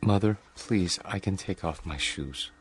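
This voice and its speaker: An actor playing a meek, awkward grown son in a radio drama, stammering politely, a lonely and secretive dreamer. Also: male voice, sits low, measured pace. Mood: evasive, meek. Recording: music bed under the dialogue.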